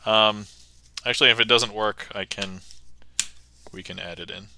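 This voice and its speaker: Bright-voiced casual streamer man. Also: fairly low pitch, steady tone, moderate pace, slight background noise. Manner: chatty, many fillers and restarts. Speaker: magician streamer. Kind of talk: casual livestream chat.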